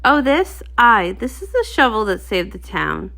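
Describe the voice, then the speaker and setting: A woman's voice: a little high, very animated, a little slow, gravelly, bright, forceful tone, heavily acted. A woman voicing a brave, humble male pony warrior with folksy, old-fashioned speech in a storybook reading. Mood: eager, proud.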